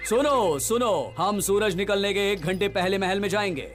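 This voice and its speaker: A cartoon pirate captain played by a man, pitched high, bossy and full of himself. He blusters like a dim villain, with faint music behind. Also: moderate pace, lightly acted. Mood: pompous. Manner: commanding, self-important. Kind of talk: dubbed cartoon dialogue.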